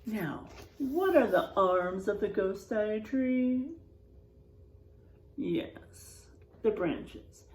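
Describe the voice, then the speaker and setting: A woman reading a picture book aloud to children, pausing to ask them questions. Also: medium pitch, animated, a little mellow, roomy sound. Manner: slow, asks children questions. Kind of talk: children's storybook read-aloud.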